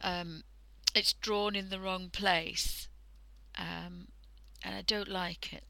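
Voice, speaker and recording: Croaky woman with a cold. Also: fairly low pitch, level tone, slow, pushed and bright, no room sound. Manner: chatty, thinking aloud, drifting into half-whispers. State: hoarse from a cold. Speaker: art livestream host. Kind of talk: casual drawing tutorial commentary. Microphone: headset.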